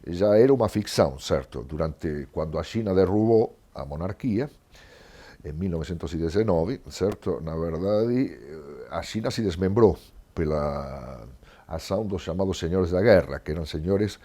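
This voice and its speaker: An older Argentine-accented man, a native Spanish speaker, speaks in a very deep, mellow voice. He explains things discursively as an interview guest, often tacking a short question onto his sentences to check he is followed. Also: lively intonation, moderate pace. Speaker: guest historian and professor. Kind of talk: conversational interview, explaining history.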